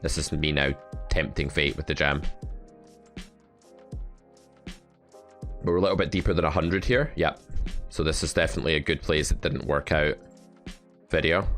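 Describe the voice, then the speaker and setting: Very deep-voiced male poker coach. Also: a bit bright. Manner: blunt, wry, sardonic. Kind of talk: live play-and-explain commentary.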